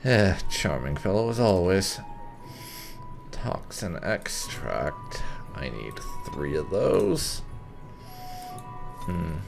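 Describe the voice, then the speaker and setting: A man hosts a gameplay video, musing aloud in a casual way. His deep voice is gravelly and slow, with faint game music behind it. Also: expressive. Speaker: gaming video host. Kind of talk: casual gameplay commentary, lightly role-played.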